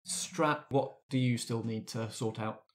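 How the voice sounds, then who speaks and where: A man's voice: mid-range voice, fairly even intonation, a little slow. A man casually guiding a group discussion as the game master of a tabletop roleplaying game.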